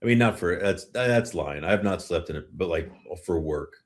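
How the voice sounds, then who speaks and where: A middle-aged man with a deep voice hosts a hockey podcast. He speaks casually and conversationally, often thinking aloud in measured asides. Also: expressive. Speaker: sports podcast host. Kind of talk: casual hockey talk and question answering.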